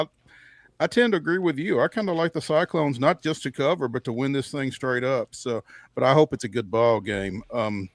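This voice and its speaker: A man co-hosting a sports show, talking casually through his game picks in a measured, halting way as he thinks aloud. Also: on the high side, dry recording, clean studio sound.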